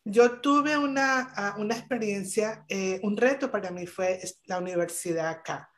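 A woman's voice: mid-range voice, moderate pace. A woman speaking as a guest on a podcast panel over a video call, in an earnest, emphatic way with many hesitations. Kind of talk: motivational talk on a podcast panel.

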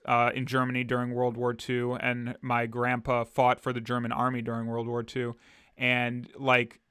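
Smooth, dry-voiced man co-hosting a podcast. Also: medium pitch, fairly bright tone, no echo. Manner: earnest, explaining, loose fillers. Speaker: podcast co-host. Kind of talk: casual political chat.

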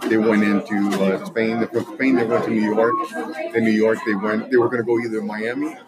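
A middle-aged man from Los Angeles speaks as an interview guest in a somewhat low voice. His explanations are informal and halting, and a loud crowd chatters behind him. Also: level tone, rough recording. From Los Angeles area, United States. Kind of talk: casual interview chat.

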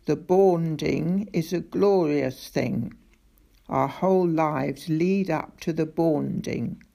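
A woman voicing a huge gentle monster that talks in a slow, deep, solemn voice. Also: gravelly, full character voice.

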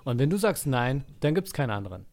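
A man's voice: mid-range voice, big pitch swings, fast, creaky, a little mellow, slight background noise. A man hosting a podcast who chats casually, reads out listener messages and teases his co-host with dry humor.

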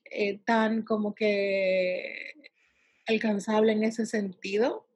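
A woman from the United States giving conversational interview answers, pausing often as she searches for words. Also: mid-range voice, flat delivery, a little mellow, husky, dry recording. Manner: halting, searching for words with drawn-out pauses. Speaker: interviewee, salon worker and empowerment advocate for girls.

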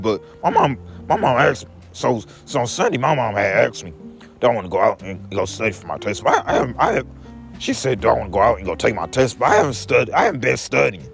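A man's voice: mid-range voice, gravelly. A young man talking casually to his viewers in a rambling vlog monologue, repeating each phrase back to himself in the second person. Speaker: vlogger.

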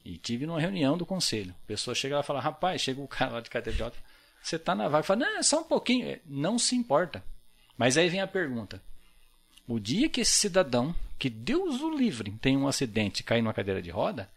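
A Brazilian man with a Brazilian accent, talking as a podcast guest in an earnest, reflective way. His voice moves a lot in pitch, rising and falling with each point. He often checks in with short tag questions as he goes. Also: huge pitch swings, fast. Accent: Brazilian Portuguese. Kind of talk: casual podcast conversation.

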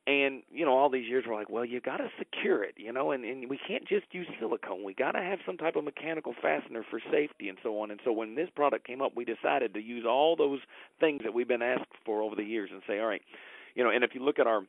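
A man speaks over a narrow, dry telephone line in an easy interview style. He tells stories in a folksy, enthusiastic way, like a business founder recounting how things came about, and his pitch sits in an ordinary middle range. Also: quick pace, vocal fry, dry recording. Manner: folksy, enthusiastic storytelling, quoting past conversations. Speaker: company founder and president being interviewed. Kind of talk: casual interview chat, telling anecdotes. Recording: thin, dry phone-line sound.

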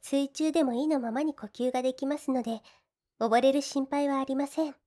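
Female voice actor as slime maiden, high-pitched, soft and mellow, deferential, shy, devoted, fantasy ASMR drama. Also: steady tone, brisk, lightly acted. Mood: calm, reassuring. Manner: soft, polite, deferential, shyly hesitant. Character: gentle, devoted, easily flustered slime woman servant from fantasy world. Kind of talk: Japanese ASMR situation voice drama.

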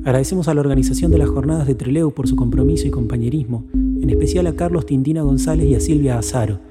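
A man narrating a documentary podcast in a measured, solemn and lyrical way. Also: mid-range voice. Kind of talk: reflective scripted narration. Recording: long reverb with music bed.

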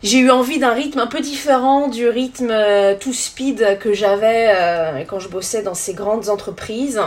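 Higher-voiced French woman, interview guest. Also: measured pace, a little mellow. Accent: French from France. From France. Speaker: podcast guest, tour guide. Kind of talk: conversational interview answers.

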